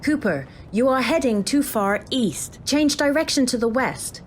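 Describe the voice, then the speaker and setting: An actress playing a calm, detached female game AI, giving clipped instructions and warnings in a video game parody drama. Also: high voice, a little slow, slight background noise. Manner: flat, clipped announcements and instructions.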